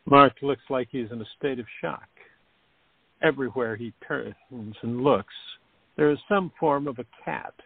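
A man's voice: medium pitch, creaky, slightly rough, no echo. A man narrating a scripted radio play, reading scene descriptions, with a tinny, narrow sound.

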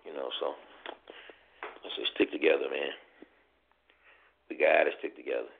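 Young guy hosting a call-in show, low gravelly voice going hoarse, rambling and earnest, sounds like it's over a phone. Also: steady tone, normal speed, slight background noise. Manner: earnest, rambling, repeats himself. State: getting hoarse. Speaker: podcast host. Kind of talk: casual call-in show monologue. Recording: tinny phone-line online radio.

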